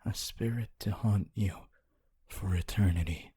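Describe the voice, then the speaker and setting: Man playing a slow, breathy, lonely male ghost. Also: deep voice, full character voice. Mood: tender, longing. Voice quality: breathy, hushed. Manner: slow, halting, wistful, intimate. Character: honorable lonely male ghost, former teacher, tender and regretful. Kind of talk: ASMR roleplay monologue.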